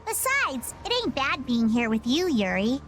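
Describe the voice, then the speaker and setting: A woman's voice: high-pitched, big pitch swings, normal speed, fairly bright tone, slight background noise. A woman voicing a quirky, carefree young pirate girl in a video game, with a folksy, breezy manner.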